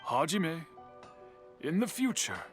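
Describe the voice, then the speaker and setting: A man's voice: a little high, big pitch swings, faint music behind. A man voicing an elderly master swordsmith in an anime game, a stern yet kindly mentor speaking formally. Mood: composed, wistful. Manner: measured, formal.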